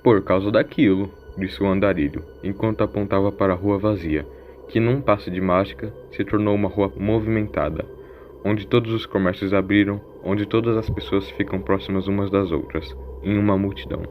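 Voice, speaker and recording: A man's voice: lowish voice, fairly even intonation, a little fast, slight background noise. A man reading a scripted audio story as its narrator. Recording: muffled lo-fi recording with music behind. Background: music.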